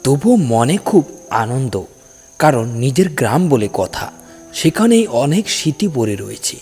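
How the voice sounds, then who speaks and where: A man's voice: mid-range voice, big pitch swings, unhurried, darkish tone. A man narrating a scripted first-person ghost story in a dramatic storytelling style. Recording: long cavernous reverb, music underneath. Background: background music.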